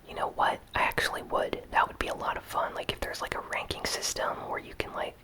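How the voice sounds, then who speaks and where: A gaming YouTuber chatting casually in a soft whisper while reading out and answering viewer comments. The speech rambles and is filled with "like". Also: brisk. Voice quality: whispered, breathy, unvoiced delivery. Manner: relaxed, rambling, lots of "like" fillers.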